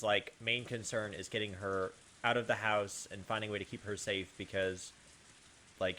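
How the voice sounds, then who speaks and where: A man's voice: on the low side, fairly bright tone. A man hosting a game playthrough, reading story narration aloud and breaking off into informal, casual commentary. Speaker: host of a game playthrough channel.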